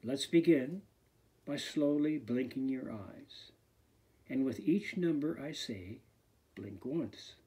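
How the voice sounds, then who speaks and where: A man, a fitness instructor, leads a guided meditation in a slow, soft, soothing voice that often sinks to a near whisper. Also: mid-range voice, slightly rough.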